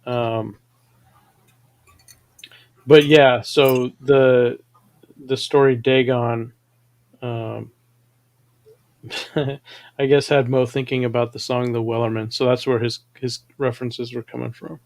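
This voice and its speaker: A man's voice: medium pitch, flat delivery, takes it slowly, pauses often, a little mellow, dry recording. Man hosting a casual chat, dry close sound. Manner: conversational, explains ideas with fillers. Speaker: show host.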